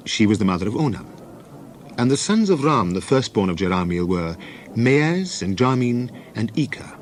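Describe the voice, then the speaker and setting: A man narrating scripture in a measured, solemn, declamatory way, as in a dramatized Bible reading. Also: fairly low pitch, a little hiss or hum.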